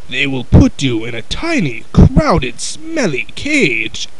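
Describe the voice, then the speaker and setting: Storybook kidnapper, acted by a man: scheming, taunting, drawn-out, lively high delivery. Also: gravelly, breathy edge, exaggerated voice, poor audio. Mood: smug, taunting.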